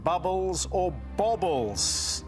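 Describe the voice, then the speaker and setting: A man hosting a studio quiz show, welcoming players, explaining rules and reading out questions in a brisk, upbeat way. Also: high voice, very animated, faint music behind.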